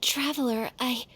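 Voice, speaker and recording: An actress voicing a gentle, earnest young noblewoman in a video game, with polite, formal and softly hesitant speech. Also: high-pitched, slight background noise. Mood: shy, flustered.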